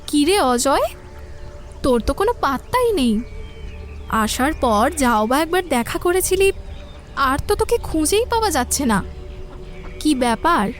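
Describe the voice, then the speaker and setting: A woman performs a gentle young woman from a village in an audio drama. Her voice is high and she takes her time, speaking earnestly over background music. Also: sing-song, measured pace, long pauses. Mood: teasing, friendly. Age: young adult. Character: gentle, soft-natured young village woman, childhood friend.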